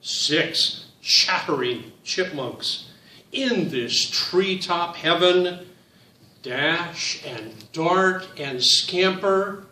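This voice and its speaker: A man reading his picture book aloud to children, in a slow, sing-song storytime voice that speaks to them directly. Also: fairly high pitch, expressive, sharp tone, echoey room, recorded from a distance, a little hiss or hum.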